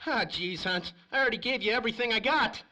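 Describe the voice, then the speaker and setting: A man's voice: very high voice, fast, pushed and bright, exaggerated voice. An actor playing a nervous, shifty small-time crook and informant in a 1930s-style radio crime drama, with whiny, slangy wise-guy talk. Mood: reluctant confiding.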